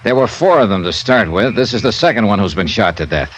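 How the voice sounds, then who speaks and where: An actor playing a cool, probing American insurance investigator, clipped and matter-of-fact, in 1950s radio drama style. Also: male voice, fairly low pitch, expressive, fast talker. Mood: grim, matter-of-fact. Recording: faintly noisy old radio recording.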